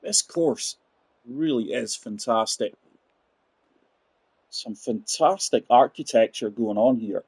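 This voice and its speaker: A man in his forties with a husky, slightly breathy voice at a middle pitch, chatting through a golf game live. He talks casually to his viewers, and the sound is dry and close, with no room echo. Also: takes it slowly, pauses often, a little mellow. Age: 40s. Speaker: game streamer. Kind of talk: live gameplay commentary.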